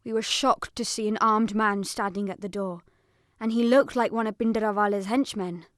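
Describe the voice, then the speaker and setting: A woman's voice: mid-range voice, fairly even intonation, normal speed, no echo, studio quality, close to an everyday voice. A woman playing a frightened fourteen-year-old girl, telling her story with dramatic emotion in an audio drama. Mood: fearful, intense. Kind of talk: dramatic first-person audio drama narration.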